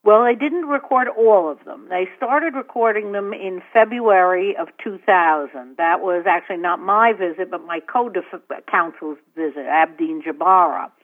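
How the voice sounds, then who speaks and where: A woman's voice: on the low side, unhurried, hardly any pauses. Female attorney guest on a phone line, conversational and dry. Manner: conversational, wry, explanatory. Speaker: lawyer interviewed as guest. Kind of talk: phone interview.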